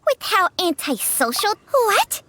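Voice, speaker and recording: A high, very animated snake spirit, haughty and sassy in the style of a fantasy video game. The teasing lines swoop up and down over music. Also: female voice, takes it slowly, dry recording, heavily acted. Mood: puzzled.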